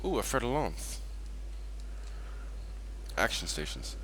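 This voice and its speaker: A man with a non-American accent and a low voice that rises and falls in a lively way. He teases his friends and barks out short game commands, over a noisy stream recording. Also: slow pace. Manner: playful, teasing, calling out ship commands. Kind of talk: casual chat while gaming.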